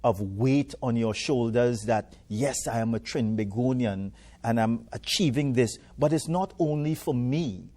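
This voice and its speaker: A man's voice: medium pitch, dark tone. A man hosting a morning TV show who interviews a guest with friendly, encouraging, folksy questions. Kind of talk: live TV interview.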